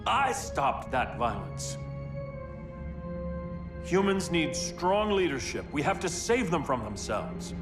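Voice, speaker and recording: A man playing a grim, self-righteous superhero turned ruler in a dramatic video game, speaking gravely and with command. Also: fairly high pitch, very animated, slow, long pauses. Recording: echoey, distant, music behind.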